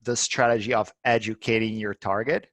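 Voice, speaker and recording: A man's voice: fairly low pitch, fairly even intonation, moderate pace, a bit bright, dry recording, muffled recording. Brazilian man, eager, searching for words. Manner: eager, repeats words while finding phrasing. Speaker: guest, head of marketing. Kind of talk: business interview.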